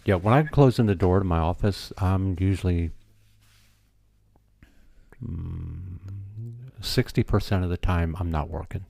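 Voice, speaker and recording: A man in his fifties co-hosting a podcast, chatting casually and telling stories in a relaxed, matter-of-fact way. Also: low voice, measured pace, vocal fry, pauses often, no room sound. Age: 50s. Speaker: podcast co-host. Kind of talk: casual chat.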